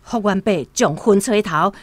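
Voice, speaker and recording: A woman's voice: mid-range voice, unhurried, no echo. A woman with a Taiwanese accent narrating a scripted literary radio story expressively. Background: soft plucked-string music.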